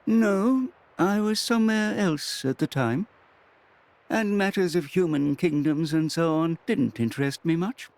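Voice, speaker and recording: An ancient, gentle old creature. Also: male voice, on the high side, measured pace, no room sound. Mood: calm. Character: ancient male titan creature, gentle and wise.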